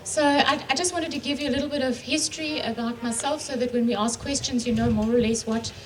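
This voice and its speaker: Middle-aged woman, businesslike conference speaker, slightly high voice, distant mic with faint hiss. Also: steady tone, fast. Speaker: panelist, regional telecom executive. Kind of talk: panel introduction with a staged phone call. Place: conference room.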